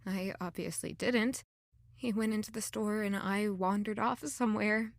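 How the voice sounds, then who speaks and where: A woman's voice: mid-range voice, steady tone, normal speed, gravelly, no echo, clean studio sound, close to an everyday voice. A young woman acting an emotional, self-blaming runaway in an audio drama. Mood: wistful, subdued. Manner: dramatic, anguished self-questioning.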